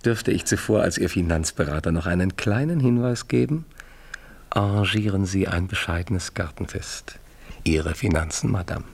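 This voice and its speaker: An actor playing a suave, smug French marquis in a radio play, speaking with measured, mock-courteous politeness. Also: male voice, low voice, creaky, slightly rough, full character voice. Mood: mocking triumph. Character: suave, mocking French marquis acting as financial adviser. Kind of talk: 1970s German radio play acting.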